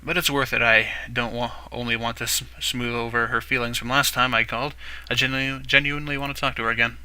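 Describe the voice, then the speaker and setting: A man narrates a visual novel playthrough at a rapid clip. His voice is sharp and very bright, and he often trips over a word and starts again. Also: mid-range voice, level tone, smooth. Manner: quick narration with frequent self-corrections. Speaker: let's play commentator. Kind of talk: reading a visual novel aloud.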